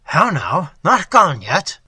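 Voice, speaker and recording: A man voicing a gruff, bloated, hearty old Dutch innkeeper with a guttural voice and blunt, boisterous manner. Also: on the high side, huge pitch swings, takes it slowly, bright, forceful tone, no echo, clean studio sound, full character voice. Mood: blustering.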